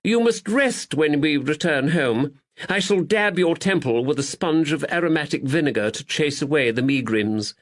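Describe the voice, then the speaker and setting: A man voicing a doting young gentleman who fusses tenderly. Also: very high-pitched, huge pitch swings, a little slow, hardly any pauses, pitched above the performer's own voice, full character voice.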